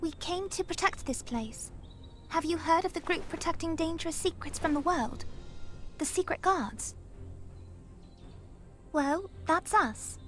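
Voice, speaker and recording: A woman's voice: very high-pitched, expressive, unhurried, long pauses, fairly bright tone, exaggerated voice. An actress playing a small, forthright female guardian in a fantasy video game. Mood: proud. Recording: faint music behind.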